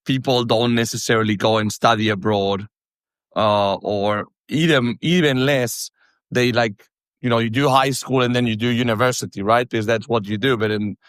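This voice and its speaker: A man's voice: medium pitch, steady tone, normal speed, a bit bright, no echo, clean studio sound. A man from Catalonia with a Catalan accent, hosting a podcast in a chatty, friendly way and asking open questions. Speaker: podcast host. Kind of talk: casual interview chat.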